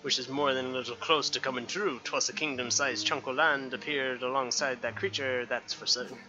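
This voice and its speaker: A man voicing a blunt, folksy, rough-and-ready adventurer in a fantasy game. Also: mid-range voice, fairly even intonation, moderate pace, dull audio, natural voice. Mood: wary. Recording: game music behind voice.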